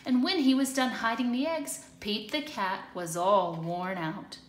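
A woman reading a picture book aloud to children in an expressive, deliberate way, recorded in an echoey room. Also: mid-range voice. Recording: echoey hard-floored room.

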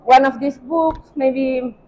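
Slow, halting middle-aged woman, non-native speaker. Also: high-pitched, fairly even intonation, a little mellow. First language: not English. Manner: halting, searching for words. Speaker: interview guest, medical doctor. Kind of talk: casual chat. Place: large indoor hotel hallway and walkway, while walking. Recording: dull sound with clearly noisy ambience.

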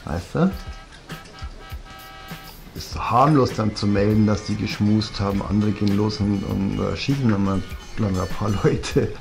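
A man's voice: fairly low pitch, a little slow, creaky, pauses often, a little mellow, faint music behind. A man in his forties with a Bavarian accent, telling a personal story bluntly and reflectively, with many fillers, filmed at close range on a sofa at home. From southern Germany. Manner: blunt, rambling, frequent fillers. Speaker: vlogger. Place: living room, seated on a leather sofa. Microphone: fixed camera at close range.

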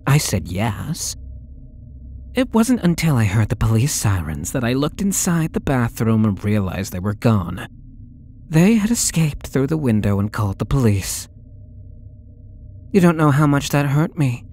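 A man's voice: medium pitch, big pitch swings, darkish tone, a little hiss or hum. Man playing a swooping, coy lovesick young stalker. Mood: wistful, sheepish. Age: young adult. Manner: coy, pleading, theatrical, drawn-out words. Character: possessive, lovesick young male stalker under interrogation. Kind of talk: ASMR audio roleplay, dramatic acting.

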